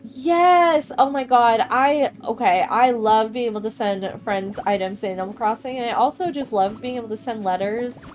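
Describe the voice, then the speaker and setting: A woman's voice: fairly high pitch, moderate pace, hardly any pauses, darkish tone, faint music behind. A young adult woman streaming a game, chatting casually with viewers, rambling from thought to thought and laughing often. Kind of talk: casual gameplay chat with viewers.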